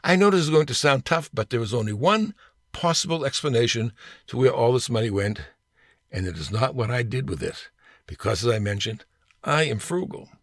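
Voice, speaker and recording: Older guy reading his funny essay, dry close sound, wry and deliberate, leans on key words. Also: medium pitch, expressive, no room sound. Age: 60s or older. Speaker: storyteller reading own essay. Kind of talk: humorous personal essay read aloud.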